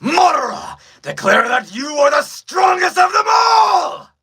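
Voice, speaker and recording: A man voicing a battle-hungry, grandiose anime villain in a fan dub, declaiming forcefully with a deep, raspy edge. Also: very animated, some chatter behind, heavily acted. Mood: exultant, grandiose. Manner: grandiose, drawn-out declaiming with shouts.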